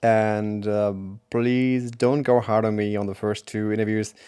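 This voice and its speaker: Smooth low-voiced German man hosting. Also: fairly even intonation, measured pace, warm tone. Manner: hesitant, searching for words with many fillers. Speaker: podcast host. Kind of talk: casual interview.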